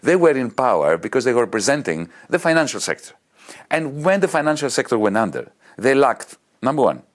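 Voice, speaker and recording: A man with a Greek accent arguing politics in an interview, emphatic and animated, piling up examples. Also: mid-range voice. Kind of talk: impassioned political argument.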